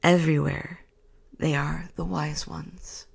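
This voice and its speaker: A woman reads a bedtime story in a breathy whisper, very gravelly and husky. Her delivery is slow and soothing, meant to lull a listener to sleep. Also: low voice, flat delivery.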